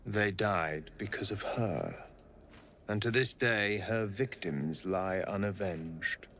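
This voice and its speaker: Slow, solemn spirit of justice. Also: male voice, fairly low pitch, a bit bright, slight background noise. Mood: grave, measured. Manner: slow, grave, archaic phrasing. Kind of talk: video game character dialogue.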